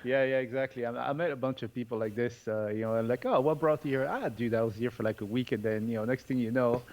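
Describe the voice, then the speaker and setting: A man's voice: medium pitch, fast talker, a little mellow. A man from France, a podcast guest talking casually over a remote call, with lots of fillers and restarts. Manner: hesitant, many fillers and restarts, laughs often. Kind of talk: casual interview chat. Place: home in Bali.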